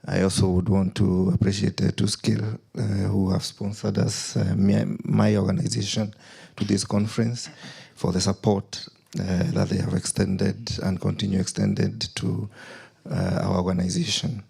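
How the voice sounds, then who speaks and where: A man's voice: fairly low pitch, little pitch movement, gravelly, mellow, husky. A man with a Kenyan accent speaking as a company CEO on a conference panel, in formal remarks broken by frequent "uh" fillers. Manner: halting, heavy with "uh" fillers.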